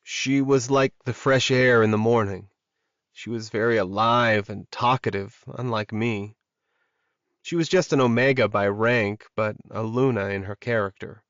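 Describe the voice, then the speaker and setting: A man voicing a reserved, steady werewolf alpha in an audiobook, speaking in a measured and solemn manner. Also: lowish voice, dry recording, lo-fi sound. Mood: grieving, proud. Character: reserved, steady male werewolf alpha, grieving yet supportive.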